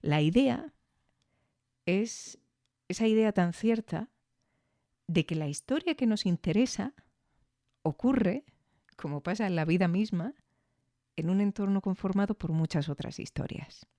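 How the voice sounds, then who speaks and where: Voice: mid-range voice, lively intonation, a little slow, long pauses, dark tone, no room sound, studio quality. A podcast host giving thoughtful, explanatory commentary on an audio drama. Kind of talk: reflective commentary.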